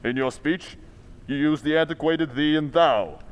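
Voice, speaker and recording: An actor playing a stern, accusing invisible avenger with a commanding manner in an old-time radio drama. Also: male voice, a little high, muffled recording, natural voice. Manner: commanding, deliberate, ominous.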